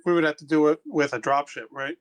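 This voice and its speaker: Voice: mid-range voice, fast talker. A player in a tabletop game, talking casually and asking questions.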